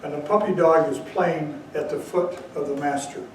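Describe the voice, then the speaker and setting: A man's voice: a little high, fairly even intonation, normal speed, a little hiss or hum. Man telling a Zen story in an echoey hall. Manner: storytelling, bursts of emphasis. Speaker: Zen teacher. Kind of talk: dharma talk. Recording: very echoey hall, mic far off.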